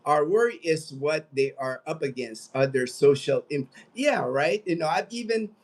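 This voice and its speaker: American male livestream host, late 50s, slightly high-pitched voice, loose conversational delivery that wanders and circles back. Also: animated, normal speed. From United States. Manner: rambling, conversational, repeats phrases. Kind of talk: casual livestream chat, reading out viewer comments.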